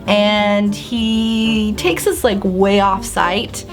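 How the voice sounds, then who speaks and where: A young woman chats to her camera in a bubbly storytime manner, acting out her own reactions as she goes. Her voice sits at an ordinary middle pitch. Faint music plays softly under her voice. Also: drawn-out words, poor audio. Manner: bubbly, animated storytelling with lots of "like" and acted-out reactions. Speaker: beauty and lifestyle vlogger. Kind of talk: casual storytime vlog.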